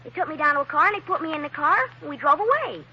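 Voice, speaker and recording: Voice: high voice, animated, racing, dull audio. An actor playing a mischievous, talkative little boy in a 1940s radio drama, with naive, chatty phrasing. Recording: old radio recording, faint noise.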